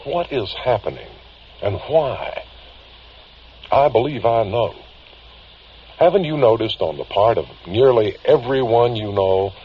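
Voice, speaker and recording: An actor playing a grave, worried older man confiding strange cases, speaking haltingly and earnestly in vintage radio drama style on a dull recording. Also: mid-range voice, slight background noise, lightly acted. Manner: halting, deliberate, earnest, pausing mid-phrase. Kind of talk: vintage radio drama acting, confessional monologue.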